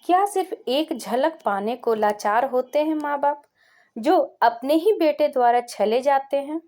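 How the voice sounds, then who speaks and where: A woman telling an emotional story as scripted narration, in a gentle, earnest way. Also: high voice, lively intonation, a little slow.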